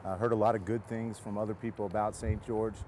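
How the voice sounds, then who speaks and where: A man's voice: on the low side, level tone, fast talker, a little mellow, a little hiss or hum. An American man from San Diego, a football coach giving casual interview answers outdoors beside a field. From San Diego, California.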